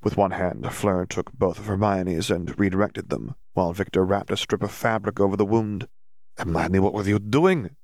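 Male audiobook narrator, lowish voice, very dry close sound, scripted story read. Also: steady tone, normal speed, vocal fry, hardly any pauses, a little mellow, dry recording.

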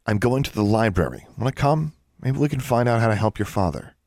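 A man's voice: mid-range voice, expressive, fairly quick, darkish tone, dry recording, studio quality, voice pushed up, lightly acted. A man voicing a defiant, blunt American schoolgirl in an audiobook. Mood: friendly.

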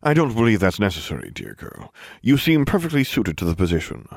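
Brief: sly elderly headmaster, put on by a man; breezy and polite, animated. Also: on the low side, fairly quick, gravelly, no room sound, studio quality, voice pushed up, exaggerated voice. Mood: cheerful. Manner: cheerful, genteel and unflappable. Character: twinkly, scheming old male headmaster wizard.

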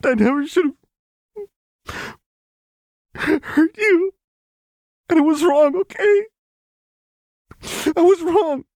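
Casting: tender, guilt-stricken young woman, very high voice, tearful and pleading, audiobook drama. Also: very slow, long pauses, a little mellow, dry recording, clean studio sound, heavily acted. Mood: sobbing, guilty. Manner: sobbing, emphatic, pleading. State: crying.

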